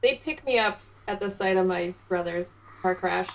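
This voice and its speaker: A woman's voice: medium pitch, normal speed, rough recording. A woman playing a tabletop role-playing game, casually and with amusement describing what her character does.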